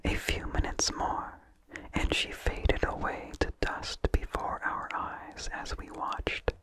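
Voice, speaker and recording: An ASMR host reading in a breathy, unvoiced whisper, hushed and deliberate, with incidental mouth sounds close to the microphone. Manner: slow, deliberate, hushed delivery with long pauses. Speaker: ASMR host reading a vintage horror story.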